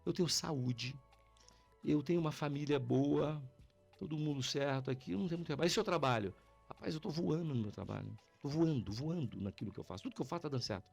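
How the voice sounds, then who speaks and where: A man's voice: fairly high pitch, fast talker, vocal fry, darkish tone, slightly rough, faint music behind. A man with a Brazilian accent telling stories in a casual podcast chat, slangy and informal, acting out dialogue as he goes. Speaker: podcast participant telling anecdotes. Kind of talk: casual animated storytelling chat.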